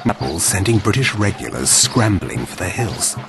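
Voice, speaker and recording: Cold villain governor, deep-leaning, menacing, measured but varied, game cutscene with music. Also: male voice, big pitch swings, gravelly, full character voice. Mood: cold menace. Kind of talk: scripted game cutscene acting.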